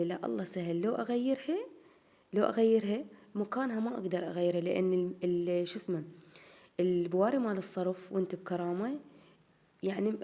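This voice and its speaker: A woman's voice: fairly low pitch, dark tone. A woman with an Iraqi Arabic accent, narrating her home routine in casual chat to her viewers, her voice a little tired and strained. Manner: chatty, addresses viewers as girls. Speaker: home vlogger.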